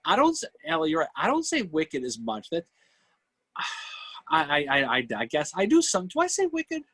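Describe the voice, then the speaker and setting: A man's voice: high voice, wildly swooping pitch, normal speed, sharp tone, husky, no room sound. A man with a New England accent bantering casually as a livestream co-host, rambling and repeating himself. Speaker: podcast co-host.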